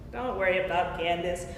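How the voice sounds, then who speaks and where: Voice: medium pitch, lightly acted. Woman reading anxious, sarcastic Wes in a cavernous hall. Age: young adult. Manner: dramatic, quippy. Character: Wes, anxious, sarcastic man cooped up at home, fond of his partner. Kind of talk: staged play reading. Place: staged reading in a large echoey room. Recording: echoey hall, far mic, faint noise.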